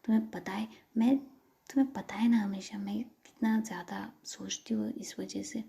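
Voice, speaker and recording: A woman reading aloud gives voice to an anxious young woman who frets easily but warms quickly, speaking softly and often in a whisper. The tone is dark and mellow, with a gravelly edge. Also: mid-range voice, steady tone. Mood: worried.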